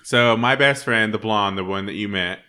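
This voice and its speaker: A young man with a bright, tense-edged voice telling a story in loose, rambling fashion. He laughs often and restarts his sentences as he goes. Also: fairly low pitch, moderate pace. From Utah. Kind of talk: casual chat among friends.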